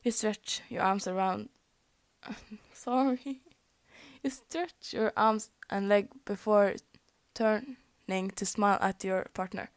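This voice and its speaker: A woman's voice: mid-range voice, steady tone, slow, dry recording. A young woman whose first language is Norwegian, reading fan fiction aloud, often tripping over words and laughing at her slips. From Norway. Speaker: fanfic reader.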